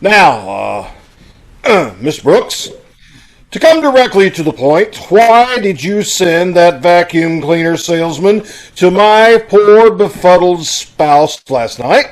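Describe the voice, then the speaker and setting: A man's voice: high-pitched, sing-song, takes it slowly, exaggerated voice. A man playing a pompous, blustering, easily flustered school principal in an old-time radio sitcom, with a spluttering delivery. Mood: pompous, indignant. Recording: amateur video-call radio play recording.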